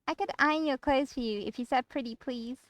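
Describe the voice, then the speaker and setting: A woman's voice: sits high, a little fast, a bit bright, dry recording, voice pushed up. A woman voicing a cheerful, pushy young female coworker in a visual novel, coaxing and sweetly teasing. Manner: bubbly, coaxing. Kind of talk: visual novel character acting.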